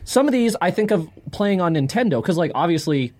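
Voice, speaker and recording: A man's voice: sits high, animated, a little fast, a little mellow. A man co-hosting a podcast, explaining his view informally in casual chat with friends. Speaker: podcast co-host.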